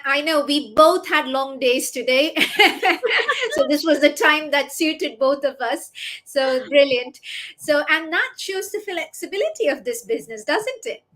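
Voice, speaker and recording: Lady with a high voice hosting a live online chat, business coach type, kinda halting and repeats herself. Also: lively intonation, fairly bright tone. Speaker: interview host and business coach. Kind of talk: live online interview, chatty.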